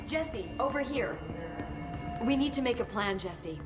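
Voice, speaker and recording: An actress voicing an earnest, eager female agency researcher who plans out loud and sighs softly. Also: a little high, animated, over music. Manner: earnest, rapid planning with soft sighs. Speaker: video game character. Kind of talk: cutscene dialogue.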